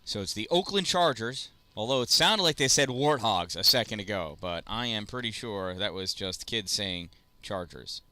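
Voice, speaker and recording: A man calling a youth baseball game play by play, chatty and self-deprecating, with rambling asides and a loud voice. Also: lowish voice, animated, moderate pace, hardly any pauses. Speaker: play-by-play announcer. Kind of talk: casual youth baseball commentary.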